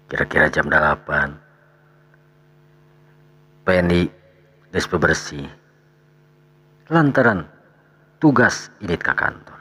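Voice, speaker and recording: A man narrating a dramatic radio tale as storyteller, with a gravelly, husky voice and pauses between short phrases. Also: a bass voice, pushed and bright.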